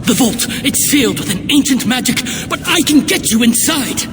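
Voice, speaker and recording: A man's voice: very high voice, expressive, moderate pace. A man playing a frantic, cowering dwarf scholar in a video game, stammering and pleading. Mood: pleading, eager. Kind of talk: cinematic video game acting. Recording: long hall reverb, background noise.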